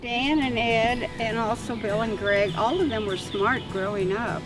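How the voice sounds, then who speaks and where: An older woman talks in an unhurried, fond, reflective way. She is a little back from the mic, and music plays under her voice. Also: on the high side, fairly even intonation, distant mic, rough recording. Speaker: mother of the lawyers. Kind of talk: documentary interview.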